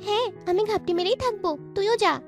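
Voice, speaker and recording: A woman's voice: extremely high pitch, quick pace, faint music behind. A woman voicing a chatty, argumentative young village girl in a cartoon. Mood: bickering. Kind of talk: cartoon dialogue.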